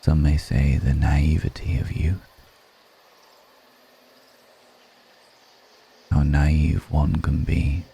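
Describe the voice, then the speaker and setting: A man playing an ancient, refined, wistful male vampire in an intimate ASMR roleplay, speaking slowly and formally with measured pauses. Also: extremely low pitch, creaky, mellow, a little hiss or hum, full character voice. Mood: musing, rueful. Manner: slow, measured, pausing between phrases, formal wording. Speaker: voice actor in an audio roleplay.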